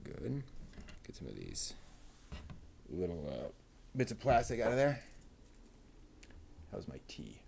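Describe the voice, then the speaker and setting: A man quietly talking himself through assembling a plastic model kit, half-mumbling as he works. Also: sits low, huge pitch swings, gravelly, slight background noise. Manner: mumbling to himself while working, unhurried. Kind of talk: muttered build commentary.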